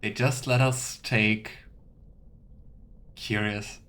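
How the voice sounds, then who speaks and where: A man's voice: fairly low pitch, steady tone, drawn-out words, fairly bright tone. Man musing through game commentary. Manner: musing, thinking aloud. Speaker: video game streamer. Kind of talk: casual let's play commentary.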